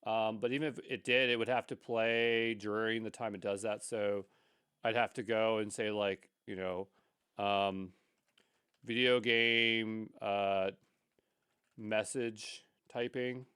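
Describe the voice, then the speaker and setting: A man's voice: lowish voice, flat delivery, slow pace, a bit bright, clean tone, no echo, clean studio sound. A man giving an unscripted coding tutorial, thinking aloud in a rambling way with mildly exasperated asides. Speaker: tutorial instructor.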